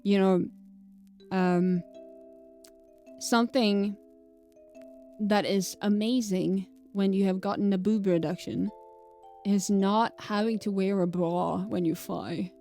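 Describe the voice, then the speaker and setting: A woman's voice: medium pitch, fairly even intonation, takes it slowly, pauses often, warm tone, faint music behind. A woman whose first language is Swedish, speaking with a light Swedish accent as a streamer chatting casually, rambling, fumbling her words and laughing often. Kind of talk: casual live-stream chat.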